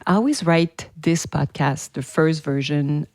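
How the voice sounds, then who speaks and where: A woman's voice: deep voice, unhurried, darkish tone, no room sound, clean studio sound. A woman with a French accent hosting her own podcast, talking to listeners in an enthusiastic, chatty way. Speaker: podcast host and PR teacher. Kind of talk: casual solo podcast talk.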